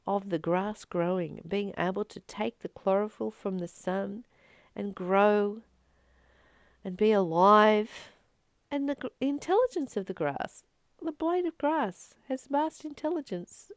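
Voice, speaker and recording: Expressive, unhurried woman giving a spiritual talk. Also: mid-range voice, no echo. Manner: earnest, expressive, emphatic repetition. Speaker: spiritual teacher and channel host. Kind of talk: informal spiritual talk, reflecting on a reading.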